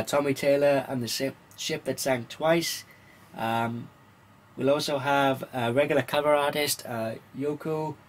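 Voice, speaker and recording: A man from Wales gives an informal vlog-style news rundown in a halting, hesitant way, pausing often with ums. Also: mid-range voice. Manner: halting, hesitant delivery with frequent pauses and ums. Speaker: comics vlogger.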